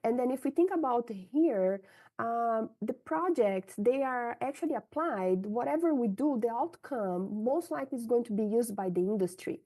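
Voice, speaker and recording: A woman with a Brazilian Portuguese accent speaks in a fairly high, mellow voice. Her first language is Portuguese, and she answers interview questions candidly, often checking in with a quick "right?". Her delivery is open and conversational. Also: animated. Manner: frank, tags sentences with "right?". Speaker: scientist interviewee.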